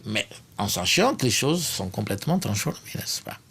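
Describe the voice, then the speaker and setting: Portuguese-accented man with a gravelly voice. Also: fairly low pitch, very animated, normal speed, a bit bright. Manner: earnest, tag questions, frequent hesitations. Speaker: sociologist interviewee. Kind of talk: documentary interview.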